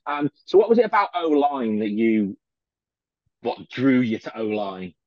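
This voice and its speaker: A middle-aged man hosts over a video call at a medium pitch. He asks long, winding questions and leans on the word "obviously". The sound is dry and dull, like call audio. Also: very animated, measured pace, no room sound. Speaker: podcast host. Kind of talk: interviewing. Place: home room indoors.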